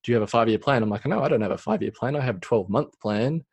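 A man's voice: medium pitch, lively intonation, racing, creaky, breathy edge. A man in his thirties, a small business owner, talking reflectively in a casual interview with many fillers. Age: 30s.

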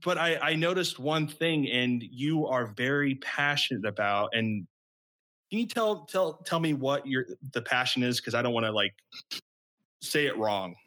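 A man hosting an interview, talking in a halting way and restarting his phrases. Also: medium pitch, expressive, bright, forceful tone. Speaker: interviewer, host. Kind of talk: conversational interview.

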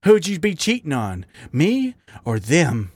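A man's voice: on the high side, wildly swooping pitch, slow pace, a little mellow, slightly rough, no echo, full character voice. A man voicing a bitter, lovesick male raccoon in a dramatic story reading, goading and pleading in a world-weary way. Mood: snarling, goading. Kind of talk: dramatic audiobook character reading.

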